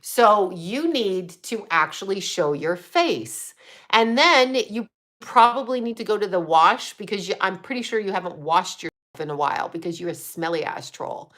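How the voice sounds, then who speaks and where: Woman, fifties, livestream host, bright tone, blunt, swears freely, chatty. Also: mid-range voice, lively intonation, normal speed. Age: 50s. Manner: blunt and profane, trash-talking trolls between chatty tips. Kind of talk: casual livestream chat answering comments.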